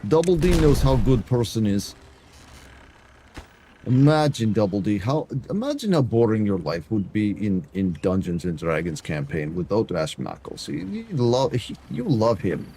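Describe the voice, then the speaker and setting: A man's voice: on the low side, very animated, normal speed, warm tone, slight background noise. A man commentating a building game to his chat in a put-on broken-English dictator voice, dropping articles. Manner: talks to chat in a mock Eastern European dictator voice, dropping articles. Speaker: game streamer. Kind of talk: casual gameplay commentary.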